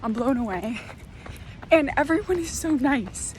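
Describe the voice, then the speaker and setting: A young woman vlogging to camera in a casual, upbeat way, full of "like" fillers, her voice hoarse and nearly gone. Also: high-pitched, expressive, unhurried, darkish tone, a little hiss or hum, rough recording. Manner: chatty, upbeat, lots of "like" fillers. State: almost lost her voice, hoarse. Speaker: travel vlogger. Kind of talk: casual vlog talk to camera.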